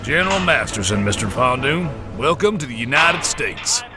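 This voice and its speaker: An actor playing a confident, businesslike American official with brisk, folksy authority in a comic drama. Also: male voice, mid-range voice, very animated, close to an everyday voice. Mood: welcoming, businesslike.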